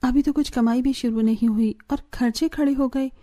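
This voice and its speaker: A woman's voice: high-pitched, steady tone, normal speed, dark tone, lightly acted. A woman playing a hardworking, earnest young daughter-in-law in a village cartoon story. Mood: concerned, earnest. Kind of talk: Hindi moral-story cartoon dialogue.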